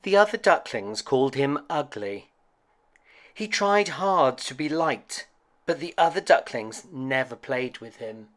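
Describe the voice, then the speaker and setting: A man narrates a children's picture story in an expressive storyteller's manner. He takes his time, and his pitch moves around in a lively way from phrase to phrase. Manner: expressive, deliberate read-aloud delivery. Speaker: storyteller reading a picture book aloud.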